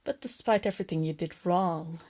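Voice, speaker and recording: A woman voicing a female lamia captor in an ASMR roleplay, soft and breathy, teasing her captive with smug, possessive tenderness. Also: fairly low pitch, a little fast, lightly acted. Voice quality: breathy, often dropping to a whisper. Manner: soft, taunting, condescending, intimate.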